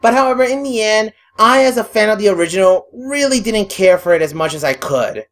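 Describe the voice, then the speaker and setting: A woman's voice: mid-range voice, animated, brisk. A woman delivering a scripted video review in a blunt, exasperated, opinionated manner. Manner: blunt, exasperated, freely swearing. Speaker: online anime reviewer. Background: music.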